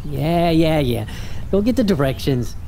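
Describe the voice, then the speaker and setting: A woman's voice: sits low, animated, measured pace, dark tone, a little hiss or hum. A woman playing a polite, nervous young man about to turn twenty-one in an audio drama. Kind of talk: radio horror drama.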